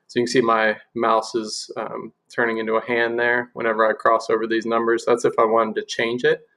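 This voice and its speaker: A man presents an informal software walkthrough in a voice with plenty of fry and gravel. His pitch is middling and stays fairly even. He explains things step by step, as a demonstrator does while sharing a screen. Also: fairly quick.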